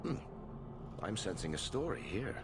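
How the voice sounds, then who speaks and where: A man's voice: fairly low pitch, very animated, normal speed, music in the background. A man voicing a dry, understated adventuring companion in a video game. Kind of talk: video game dialogue acting. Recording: game capture with clear background noise.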